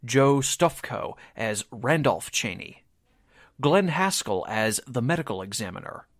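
A man's voice: medium pitch, no room sound, clean studio sound. A man working as announcer, reading scripted closing credits for a radio drama.

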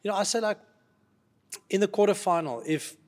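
A man's voice: high voice, moderate pace, darkish tone. A South African man giving casual sports analysis, explaining his points with frequent repeated words. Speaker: sports podcast regular.

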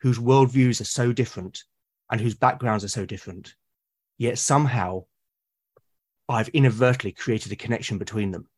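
A man from Britain, a podcast guest, talking eagerly about his characters, with lots of restarts and a slightly husky voice. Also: medium pitch, normal speed, darkish tone. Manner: enthusiastic, rambling with many restarts. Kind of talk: casual podcast conversation.

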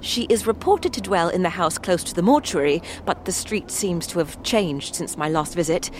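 A woman's voice: on the low side, expressive, moderate pace, slight background noise, close to an everyday voice. A woman doing a stammering con man. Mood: flustered. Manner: stammering, overly polite old-fashioned speech. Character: plump male con man posing as lost gentleman. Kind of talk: tabletop audio drama.

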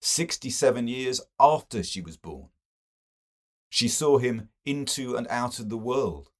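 A man's voice: medium pitch, lively intonation, unhurried. A man voicing an earnest, argumentative young intellectual in a novel, declaiming his rhetoric theatrically. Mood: retorting.

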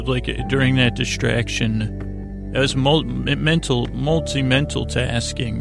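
A man hosting a bedtime podcast, rambling in a halting monologue that keeps interrupting itself and wandering off. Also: medium pitch, vocal fry. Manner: meandering, halting, self-interrupting. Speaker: podcast host.

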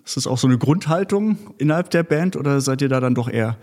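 A man's voice: mid-range voice, lively intonation, fast talker, darkish tone. A man from interviews a guest casually, attentive, with many backchannel murmurs and joking asides. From Berlin, Germany.

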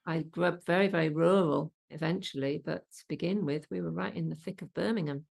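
Woman host from the Midlands, low gravelly tone, warm encouraging interviewer. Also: fairly quick. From English Midlands. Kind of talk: conversational interview.

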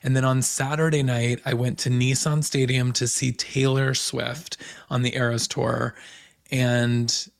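A man hosting a podcast with a hoarse voice, recapping pop culture in a chatty, gossipy way. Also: mid-range voice, flat delivery. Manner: chatty, gossipy, fast asides. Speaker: podcast host.

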